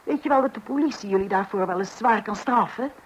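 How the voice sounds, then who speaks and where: A woman's voice: mid-range voice, fast, muffled recording, a little hiss or hum, close to an everyday voice. An actress playing a stern, exasperated mother scolding her children.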